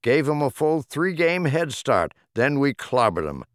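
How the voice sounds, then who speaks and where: A man's voice: medium pitch, sing-song, measured pace, no room sound, clean studio sound. A man reading humorous rhyming poems as an audiobook narrator, with a theatrical, rhythmic delivery. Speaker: audiobook reader.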